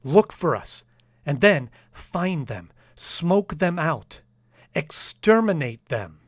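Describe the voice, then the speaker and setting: A man's voice: on the high side, sing-song, takes it slowly, no room sound, studio quality, full character voice. A man playing an anxious, sensitive young man in a radio drama, speaking in an earnest, faltering, dramatic way. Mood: urgent, grim. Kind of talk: old-time radio drama acting with diary narration.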